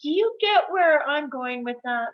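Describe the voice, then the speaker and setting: A woman's voice: high-pitched, expressive, moderate pace, muffled recording. A woman playing a tired, blunt housewife and mother in her thirties, emphatic and crude, in a comedy table read. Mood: disgusted, exasperated.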